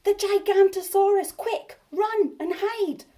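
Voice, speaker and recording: A woman's voice: very high voice, slow pace, warm tone, pitched above the performer's own voice. A woman voicing a mischievous young boy dinosaur in a storybook, boastful and teasing, crying out false alarms. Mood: mock alarm.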